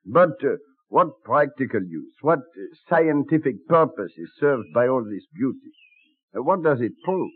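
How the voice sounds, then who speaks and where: A man's voice: mid-range voice, big pitch swings, a little slow, breathy edge. A French aristocrat visiting early America in a radio play. He is formal, probing and a little hesitant, in a thin, narrow vintage broadcast. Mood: polite, questioning. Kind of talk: old-time radio drama acting. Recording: muffled old radio broadcast.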